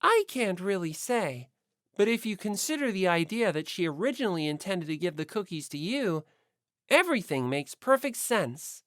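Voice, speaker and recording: A woman's voice: medium pitch, very animated, moderate pace, no echo, studio quality, lightly acted. Woman as a cool, skeptical teenage girl. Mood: dry scrutiny.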